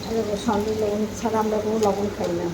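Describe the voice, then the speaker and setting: A woman's voice: mid-range voice, flat delivery, racing. Female home vlogger, casual and chatty, repeats herself and quizzes viewers; roomy echo, noisy recording. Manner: repeats phrases, addresses viewers with questions. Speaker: home cooking and eating vlogger. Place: indoors at home. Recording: echoey room with clear background noise.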